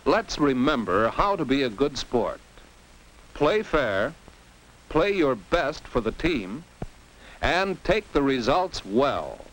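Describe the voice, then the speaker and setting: Animated American man narrating a vintage classroom film. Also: medium pitch, takes it slowly. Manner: didactic, posing rhetorical questions and exclaiming like a game commentator. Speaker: narrator of an educational film for teens. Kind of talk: 1950s instructional film narration, scripted. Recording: noisy old 1950s film soundtrack.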